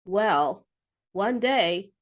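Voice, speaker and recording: A woman reading a children's story aloud with expressive, deliberate phrasing. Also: mid-range voice, dull audio.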